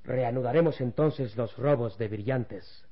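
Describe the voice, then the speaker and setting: An actor playing an arrogant, gloating crime boss in an old radio serial, with a husky voice and an imperious manner. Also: male voice, mid-range voice, measured pace, muffled recording. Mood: commanding. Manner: imperious, self-satisfied.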